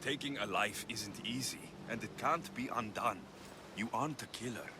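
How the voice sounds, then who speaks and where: A man playing a tough, level-headed mercenary in a video game, with a Greek accent and a measured, plain-spoken delivery. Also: fairly low pitch, background noise, lightly acted. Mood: earnest. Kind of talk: video game cutscene acting.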